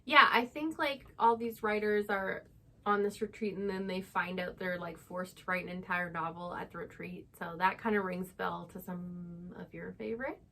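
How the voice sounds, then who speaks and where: A woman's voice: on the low side, steady tone, moderate pace, fairly bright tone. A woman co-hosting a book channel, talking in a casual, unscripted conversational style.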